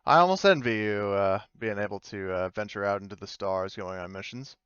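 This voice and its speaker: Man playing a courteous, smooth, low-voiced station official. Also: a little fast, a bit bright, dry recording, dull audio, lightly acted. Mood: courteous, wistful. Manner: polite, slightly formal. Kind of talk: in-character tabletop sci-fi roleplay.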